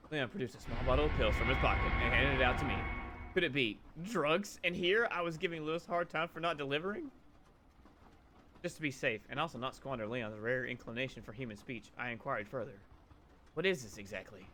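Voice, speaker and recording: A man's voice: mid-range voice, brisk, noisy background, close to an everyday voice. A man voicing an anxious, sarcastic young woman who narrates her ordeal in a visual novel read-aloud. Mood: wry, narrating.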